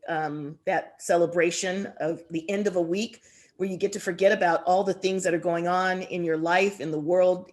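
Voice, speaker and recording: A woman speaking as a guest author, reflective and expressive, deliberately drawing out words for emphasis. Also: fairly low pitch. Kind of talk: reflective interview answers and poetry reading.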